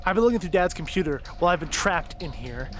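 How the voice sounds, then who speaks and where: Anxious, sarcastic teen boy in a sci-fi audio play, played by a man, very high voice, light music. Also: lively intonation, fast, fairly bright tone. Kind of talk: audio drama acting.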